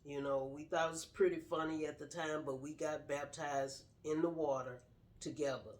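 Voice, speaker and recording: A woman's voice: deep voice, fairly even intonation, normal speed, a little mellow. A middle-aged woman sharing her personal story casually in an earnest, straightforward way. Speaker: guest telling personal story, wife of the host.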